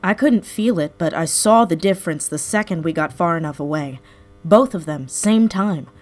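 A woman playing a sharp female tech specialist in an audio drama. Her voice sits a little low, wry and matter-of-fact, over soft music. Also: animated, moderate pace, close to an everyday voice. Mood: grave, matter-of-fact. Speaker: voice actor in a fiction podcast. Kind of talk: scripted audio drama acting.

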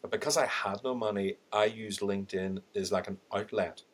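Husky-voiced Irish man telling his story. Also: fairly low pitch, normal speed. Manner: animated, acts out quoted thoughts with laughing asides. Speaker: podcast guest, sales and LinkedIn trainer. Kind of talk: candid conversational storytelling.

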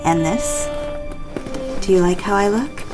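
A woman's voice: mid-range voice, expressive, a little slow, close to an everyday voice. A woman playing a lovestruck, compliant married mistress in an audio drama, speaking and narrating in an intimate, confessional way. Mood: yearning. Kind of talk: dramatic first-person narration and dialogue. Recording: music behind the voice.

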